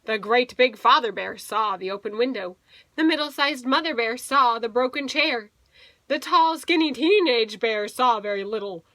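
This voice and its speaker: A woman's voice: a little high, normal speed, bright, forceful tone, dry recording. A woman teacher reading a picture book aloud to young children, expressively and with little explanatory asides.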